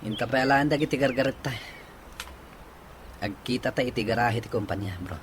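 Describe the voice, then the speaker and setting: A man's voice: medium pitch, moderate pace, a little hiss or hum, close to an everyday voice. An actor playing a heartbroken young man in radio drama style, with an Ilocano accent. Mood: resigned. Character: heartbroken young man pining after a woman.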